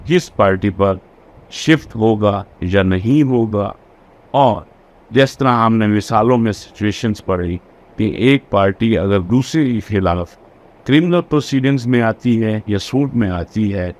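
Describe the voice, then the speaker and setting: A man giving a recorded law lecture. He reads English passages slowly and then restates them in his own words. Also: on the low side, a little mellow.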